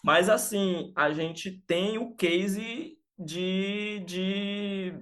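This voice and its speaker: A man's voice: sits high, steady tone, drawn-out words, fairly bright tone. A man from Salvador, co-hosting a casual sports podcast and talking with many fillers and restarts. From Salvador, Bahia, Brazil. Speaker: podcast co-host.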